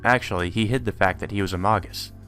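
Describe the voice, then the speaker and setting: Low-voiced man reading a visual novel. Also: fast, fairly bright tone, faint music behind. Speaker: let's player. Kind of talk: reading visual novel text aloud with asides.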